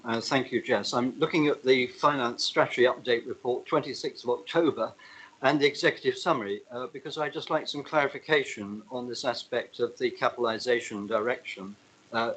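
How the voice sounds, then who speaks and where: A man asking a measured, polite question at a council meeting. A faint hiss runs under his voice. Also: mid-range voice, a bit bright, low-quality recording.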